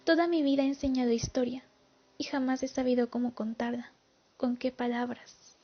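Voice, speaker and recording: Muffled, with faint music, a woman acts out a haunted older female war veteran in a radio play. Her high, husky voice gives testimony in a confessional, pleading manner. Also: little pitch movement, moderate pace.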